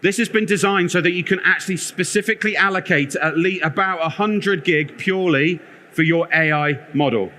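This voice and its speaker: A man giving a lively conference product talk with a loud, energetic, self-deprecating manner as he wanders the stage. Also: sits high, fairly quick, slight background noise. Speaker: conference speaker, product lead. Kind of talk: live conference presentation. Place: conference theatre.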